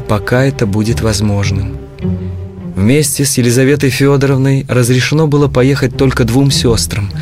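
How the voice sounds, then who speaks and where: A man narrating a historical audio play from a script in a solemn, measured manner. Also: mid-range voice.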